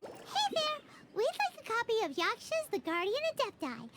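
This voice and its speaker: A woman's voice: very high-pitched, huge pitch swings, moderate pace, very noisy, heavily acted. A woman voicing a tiny, bubbly fairy companion girl who talks of herself in the third person, in a video game cutscene. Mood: curious. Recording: game soundtrack music behind.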